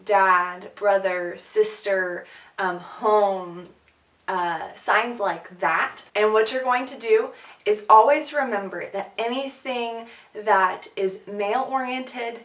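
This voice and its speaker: A woman's voice: medium pitch, fairly bright tone. A woman with a cold, a bit short of breath, teaching a casual sign language lesson with lots of ums and light laughs. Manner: chatty, many "um"s, light laughs. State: sick, sniffly, short of breath. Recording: echoey room, far from mic.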